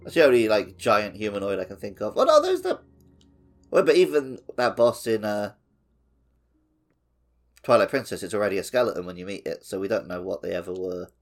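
A man chatting casually as a podcast co-host, joking and getting animated in an informal way. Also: fairly low pitch, fairly quick, pauses often. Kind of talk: casual chat.

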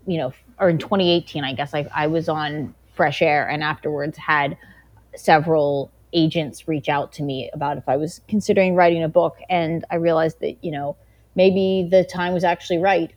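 A woman giving reflective interview answers in a conversational manner, peppered with "you know" and "like". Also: low-pitched. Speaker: interview guest, investigative journalist and author.

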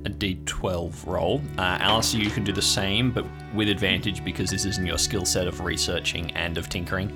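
A man's voice: sits low, fairly even intonation, brisk, few pauses, music in the background. A man narrating an improvised tabletop story as game master, describing scenes in a conversational way.

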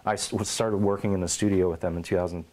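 Man, middle-aged, lowish voice, animated storyteller, oral history interview, at home. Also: fast talker. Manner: animated storyteller, acts out quotes and meows. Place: his home.

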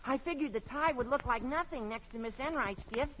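A cheerfully meddling teenage boy in an old radio comedy. His voice is high, squeaky and bright, eager to help. The recording is dull with a faint hiss. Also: a little fast. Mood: sheepish, hurried. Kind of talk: vintage radio sitcom acting.

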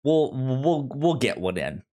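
A man co-hosting a podcast, chatting casually about films in a halting way, often repeating words and restarting. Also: mid-range voice, sing-song, mellow. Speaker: podcast co-host. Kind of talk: casual film review chat.

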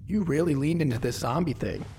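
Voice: mid-range voice, brisk, background noise, close to an everyday voice. An actor playing a casual, slightly bewildered young space adventurer in an audio drama, hesitant and informal. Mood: curious, impressed.

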